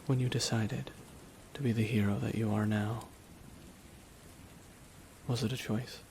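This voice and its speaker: Man acting a brooding supervillain, very slow delivery, hushed and deliberate, long pauses, dramatic ASMR monologue, rain in the background. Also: lowish voice. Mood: solemn, reflective. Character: brooding, remorseless male villain at his dying nemesis's side. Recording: steady rain noise behind the voice. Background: rain falling on a surface.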